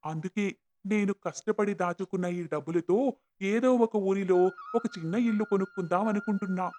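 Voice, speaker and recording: Humble, devout poor villager played by a man, high-pitched, sincere, cartoon folk tale with soft music behind. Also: moderate pace, close to an everyday voice. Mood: hopeful, devout. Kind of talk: cartoon moral-story acting. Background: background music.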